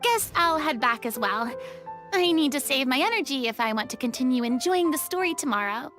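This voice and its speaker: High-voiced, inquisitive theatrical young woman. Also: fairly quick, soft background music. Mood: puzzled, indignant. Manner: expressive, musing. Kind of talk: scripted video game dialogue.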